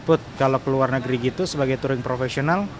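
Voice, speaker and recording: A man's voice: mid-range voice, fast. Indonesian male host over noticeable street noise. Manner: frequent affirming backchannels, repeating the guest's words. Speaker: podcast host, interviewer. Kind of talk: casual interview chat.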